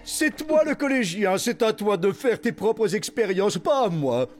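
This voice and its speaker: An actor voicing an easygoing, theatrical flatworm school principal in a French cartoon, airy and effusive. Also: medium pitch, measured pace, soft background music. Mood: carefree. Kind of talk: French cartoon dub acting.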